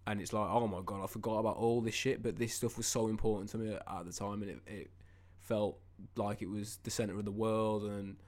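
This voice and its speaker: A young man talks casually as a podcast co-host. His voice is on the low side. He rambles along informally, thinking things over as he goes. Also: steady tone, fairly quick, few pauses, darkish tone. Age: 20s. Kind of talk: casual chat.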